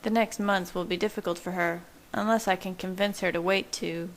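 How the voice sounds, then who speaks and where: A woman voicing an earnest, restrained young male vampire in love, in measured, gentle audiobook dialogue. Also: lowish voice, steady tone, slight background noise, natural voice, poor audio.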